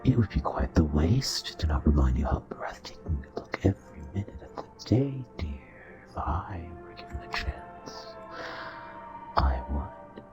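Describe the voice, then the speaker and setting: A man playing a charming, possessive, ancient royal vampire in an intimate romantic audio roleplay, speaking in a courtly, seductive, breathy way. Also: very deep voice, sing-song, measured pace, lo-fi sound, exaggerated voice. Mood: flirtatious, courtly. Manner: courtly, seductive, often breathy half-whisper. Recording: soft voice over background music.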